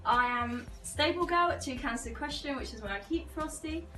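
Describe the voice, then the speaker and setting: An eighteen-year-old woman introducing herself to camera, quick and cheerful. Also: high-pitched, expressive, sharp tone, reverberant, far from the mic, faint music behind, low-quality recording. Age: teens. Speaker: contestant.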